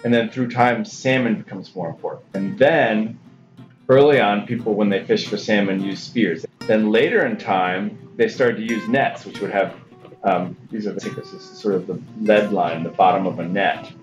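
A man's voice: lowish voice, normal speed. A middle-aged man, a museum curator, explaining objects with fillers. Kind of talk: explaining artifacts. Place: indoors, at a table in a museum workroom. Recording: roomy and dull, with music.

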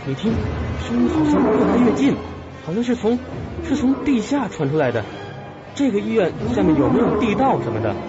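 A man acting an animated, earnest young hero. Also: sits high, fairly quick. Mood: alarmed. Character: earnest, brave young man, smitten and protective. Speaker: actor in an audio drama. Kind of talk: suspense radio drama acting. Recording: dull lo-fi sound, loud music behind.

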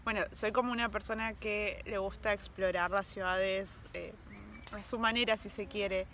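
A woman's voice: mid-range voice, normal speed. Chill rioplatense woman in her 30s vlogging in a park, phone at arm's length, bit of outdoor noise. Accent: Rioplatense Spanish. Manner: relaxed, chatty, searching for words. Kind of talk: casual travel vlog chat. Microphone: phone held at arm's length.

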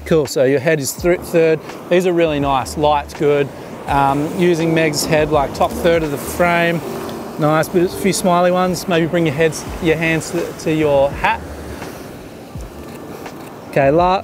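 A man's voice: a little high, a little slow, background noise. Chatty man directing a beach photo shoot. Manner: relaxed, chatty, full of fillers and restarts. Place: open sandy beach at sunset, outdoors. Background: music.

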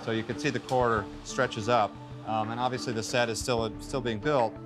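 A man's voice: mid-range voice, steady tone, a little fast. Middle-aged man explaining, music behind. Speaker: film production designer. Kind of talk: explanatory set walkthrough. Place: large unfinished film set, indoors.

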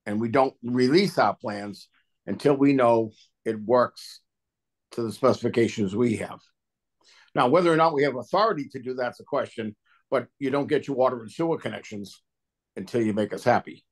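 A man's voice: mid-range voice, moderate pace. A man speaking as an agency official at a public meeting over a video call, explaining technical matters in plain, colloquial terms. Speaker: water and sewer agency official at a hearing.

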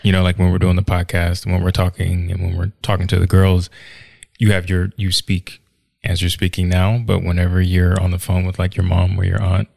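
A young man with a very low, gravelly voice co-hosts a casual podcast from his living room, bantering and joking. He speaks close to a desk mic in a dry room. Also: monotone, fairly quick, darkish tone, no echo. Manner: joking, laid-back banter. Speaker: podcast co-host. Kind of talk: casual chat. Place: home living room by a staircase. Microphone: studio mic on a desk stand, headphones on.

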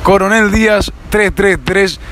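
A man's voice: sits high, steady tone, unhurried, fairly bright tone. A young man with an Argentine Spanish accent, joking and teasing strangers in street interviews as a prank vlogger. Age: young adult. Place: city streets outdoors. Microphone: handheld phone held up to mouth. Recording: street noise behind.

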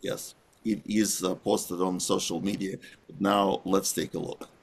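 A middle-aged man co-hosting a podcast over a video call, talking in an earnest, measured way from a small room. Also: sits low, little pitch movement, creaky, husky. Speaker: podcast co-host. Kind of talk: casual video-call chat.

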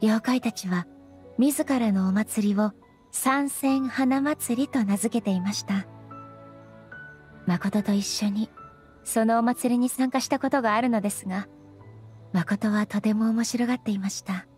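A woman voicing a dignified, gentle female ruler in a Japanese anime game, speaking politely and formally with measured composure. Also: a little high, faint music behind, natural voice. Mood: serene, nostalgic.

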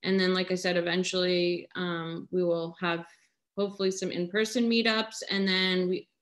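Halting woman from Vermont on a video call. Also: on the low side, flat delivery. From Vermont, USA. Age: 30s. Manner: halting, many filler pauses. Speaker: chapter chair and organizer. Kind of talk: casual meeting remarks and introduction. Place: home room at night, lamp-lit. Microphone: laptop webcam on a video call.

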